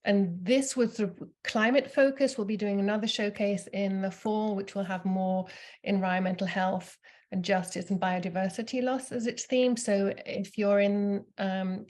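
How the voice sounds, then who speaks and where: A woman's voice: medium pitch, steady tone, moderate pace, darkish tone. A woman from Germany who grew up in England, giving an informal presentation with frequent fillers and restarts. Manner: hesitant, many fillers and restarts. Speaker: university program staff presenter.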